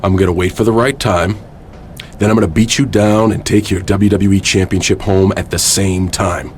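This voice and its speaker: Man as a low-voiced, stoic wrestling hero. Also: fairly even intonation, moderate pace, darkish tone, a little hiss or hum, close to an everyday voice. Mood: defiant. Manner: plain-spoken, wry. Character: stoic, defiant male wrestling hero with dry wit. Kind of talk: video game cutscene acting.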